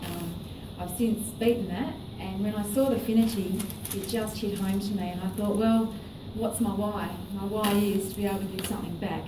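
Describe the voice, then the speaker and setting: A woman's voice: mid-range voice, fairly even intonation, moderate pace, hardly any pauses. A middle-aged woman telling her own story at a meeting, earnest and hesitant with many fillers. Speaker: business presenter. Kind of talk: personal testimony at a meeting. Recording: echoey room, distant mic, noisy.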